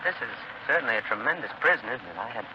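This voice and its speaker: An actor playing a timid, polite out-of-town visitor who stammers and hesitates, in 1930s radio thriller style on a noisy old broadcast. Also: male voice, mid-range voice, lively intonation, fast talker, lo-fi sound, lightly acted. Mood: impressed, curious.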